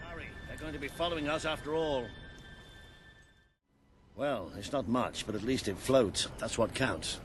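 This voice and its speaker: An actor playing an older, seasoned soldier mentor in a video game, British, with wry understatement and steady encouragement. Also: male voice, mid-range voice, moderate pace, long pauses, music in the background, close to an everyday voice. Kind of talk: video game cutscene acting. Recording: echoey hall reverb, clear background noise.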